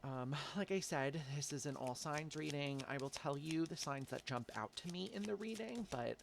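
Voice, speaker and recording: A man's voice: fairly high pitch, moderate pace, gravelly, background noise. A man with an American accent giving a casual tarot reading, sounding congested from allergies and chatty, with frequent "um" and "like". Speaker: tarot reader.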